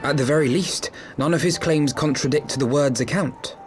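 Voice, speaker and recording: Over clear music comes a fairly high male voice, a man acting as an earnest young noble in a fantasy game. He is articulate and formal, choosing old-fashioned words. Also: normal speed, lightly acted. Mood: thoughtful. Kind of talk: dramatic video game cutscene acting. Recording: music under the voice.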